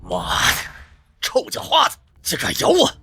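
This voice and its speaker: An actor playing a brutal, callous thug in a costume drama, with a gravelly, raspy voice. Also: male voice, high voice, wildly swooping pitch, unhurried, pushed and bright, no echo, heavily acted. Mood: angry. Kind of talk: dubbed animated costume drama.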